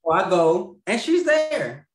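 A man's voice: high voice, very animated, takes it slowly. A man in his thirties telling a personal story as a guest on a video call, rambling with fillers and restarts and laughing mid-sentence. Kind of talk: casual storytelling. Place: home room. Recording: roomy video call audio.

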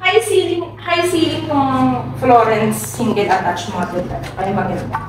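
A high-voiced young woman with a Filipino accent leads an upbeat, salesy house tour in English and Tagalog. She sounds a little off-mic in echoey empty rooms, and street noise comes through clearly. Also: unhurried, distant mic. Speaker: real estate agent. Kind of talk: property tour vlog. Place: inside a show house and outside on a street. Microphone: handheld camera held by the speaker.

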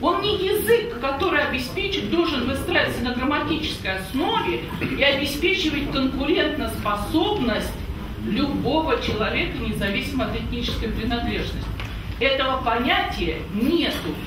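A woman in her fifties speaking up at a public meeting, emphatic and lively, repeating points and asking her listeners if they understand. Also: sits high, moderate pace. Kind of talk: impassioned public remarks. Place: meeting hall with an audience. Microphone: far camera, no microphone. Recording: echoey hall from far off with noise.

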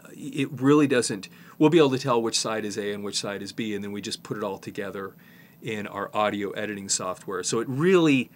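Low-voiced man giving a casual tutorial. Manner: informal, halting as he works. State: slight head cold. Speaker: presenter, tape repair technician.

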